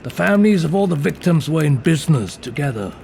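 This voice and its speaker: A gruff detective with heavy gravel in the voice, fairly high and lively in pitch. He is blunt and rough-tongued, as in a crime podcast drama. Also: fast talker, a little hiss or hum. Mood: businesslike, weary. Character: gruff, blunt, foul-mouthed male detective partner. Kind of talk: audio drama acting.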